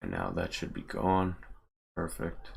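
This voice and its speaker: Male streamer, husky and fry-heavy, casually muttering commentary on what he is doing. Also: sits low, completely flat delivery, unhurried. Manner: muttering to himself, thinking aloud. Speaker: game modder and streamer. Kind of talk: casual live commentary while working on screen.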